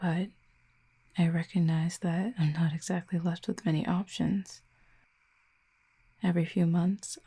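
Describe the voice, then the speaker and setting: Woman doing a wistful exiled cupid, slow and hushed, kinda flat, half whispering in an audio rp. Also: on the low side, breathy edge. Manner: soft, hushed and slow, often near a whisper. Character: exiled cupid, gentle, wistful, soft-spoken. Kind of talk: audio roleplay to the listener.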